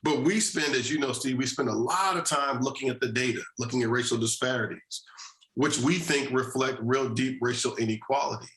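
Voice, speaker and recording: A man from New Jersey, a social justice advocate and guest, making his case in a measured, deliberate way and repeating key phrases. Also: medium pitch, a bit bright, roomy sound. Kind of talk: earnest policy discussion.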